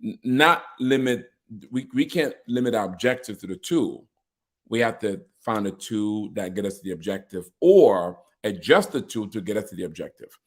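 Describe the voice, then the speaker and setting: A man's voice: lowish voice, expressive, normal speed. A man hosting a podcast who talks casually, thinking aloud in a reflective way and laughing often. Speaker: podcast host, organization leader.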